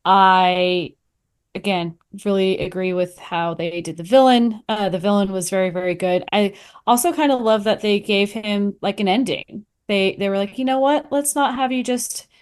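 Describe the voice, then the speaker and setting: A woman's voice: medium pitch, normal speed, smooth. A woman giving her opinions as a podcast panelist in a casual, chatty review.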